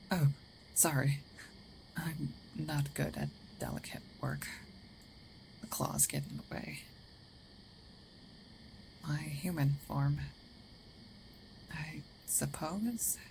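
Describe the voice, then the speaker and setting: A woman playing a gruff but caring, territorial werewolf in an intimate ASMR roleplay, hesitant and often dropping into breathy half-whispers. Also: low voice, steady tone, takes it slowly, long pauses, darkish tone, slight background noise, heavily acted, low-quality recording. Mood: flustered. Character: gruff female werewolf, territorial yet caring, awkward protector.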